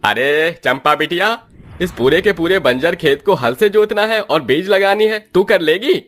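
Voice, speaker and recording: A man voicing a kindly older village landowner with a slow, avuncular manner for a moral story cartoon. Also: sits high, expressive, fairly bright tone, pitched above the performer's own voice. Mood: kindly, concerned.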